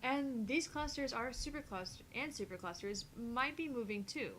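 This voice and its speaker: A woman with a fairly high voice reads visual novel dialogue aloud as a co-host. She is casual and playful, sometimes tripping over longer phrases. A faint rumble of noise sits behind her. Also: expressive, normal speed, fairly bright tone, poor audio. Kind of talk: reading game dialogue aloud with casual banter.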